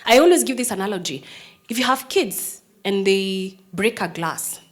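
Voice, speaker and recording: A Kenyan woman in her thirties speaks to an audience about her work as a prosecutor, in the tone of personal storytelling. Her voice is strong and firm, in an ordinary middle range for a woman. She drops to hushed, almost whispered lines and then rises loud for emphasis. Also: medium pitch, lively intonation, normal speed. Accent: Kenyan English. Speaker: prosecutor, speaker giving a talk.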